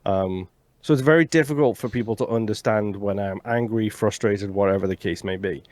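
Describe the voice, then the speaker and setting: A man with a low voice, recorded close and dry with no room sound. He talks in a flat, monotone way while co-hosting a casual game podcast, unloading his complaints at length. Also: fairly quick, dry recording. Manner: monotone, frustrated venting. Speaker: podcast co-host. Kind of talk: casual chat.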